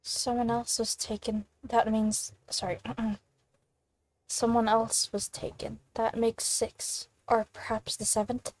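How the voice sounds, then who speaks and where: A woman doing casual let's play commentary as a gaming streamer, halting at times and reading game text aloud. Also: fairly high pitch, flat delivery, a little mellow, no echo, poor audio.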